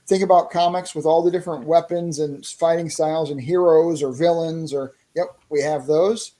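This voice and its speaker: A man's voice: on the high side, fairly even intonation, moderate pace, dark tone. A man talking casually as a guest on a podcast, enthusiastic and explanatory, often repeating his phrases. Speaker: interview guest, indie comic creator promoting a fighting game.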